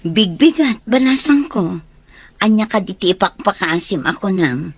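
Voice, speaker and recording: A woman's voice: mid-range voice, lively intonation, moderate pace, breathy edge. A woman playing a kindly, sympathetic older neighbour in a radio drama, speaking indulgently. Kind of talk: Ilocano radio drama acting.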